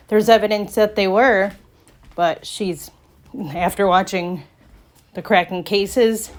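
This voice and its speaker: A woman giving casual reaction commentary in a skeptical, halting way. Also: mid-range voice. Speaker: commentary channel creator.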